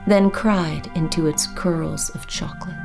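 A woman reads poetry at a very unhurried pace in a slightly low voice. She savors each phrase with emphatic pauses, and there is music behind her. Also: fairly even intonation, vocal fry, darkish tone. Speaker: poet. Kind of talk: expressive poetry reading of own work.

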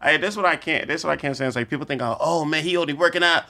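A man's voice: fairly high pitch, very fast, pushed and bright. A young adult man, a comedian guest, chatting casually in a laid-back, slangy, rambling way.

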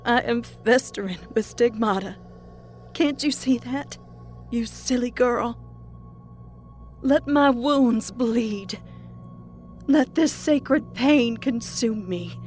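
A woman narrator voicing a fanatical, mocking woman patient in religious ecstasy, proclaiming in drawn-out phrases for an audiobook. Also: fairly high pitch, a little mellow, faint music behind, pitched above the performer's own voice. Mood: fervent proclaiming. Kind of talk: dramatic audiobook character acting.

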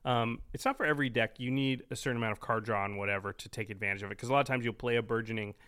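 Man chatting casually, medium-pitched voice. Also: very fast, a bit bright, smooth. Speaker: podcast co-host. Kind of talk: casual chat.

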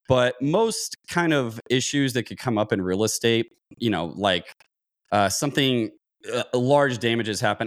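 A man in his thirties explaining things conversationally as an interview guest, thinking aloud and often restarting sentences. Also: fairly low pitch. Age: 30s. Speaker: podcast guest, real estate investor.

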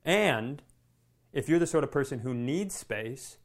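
A man co-hosting a comedy podcast, telling anecdotes casually with animated, self-deprecating delivery and some swearing. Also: mid-range voice, a little slow. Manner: animated storytelling with profanity.

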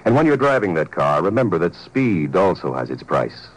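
A man announcing a scripted 1950s radio public service message in a grave, emphatic, deliberate way. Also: low-pitched, sing-song, breathy edge, rough recording. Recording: old 1950s radio recording.

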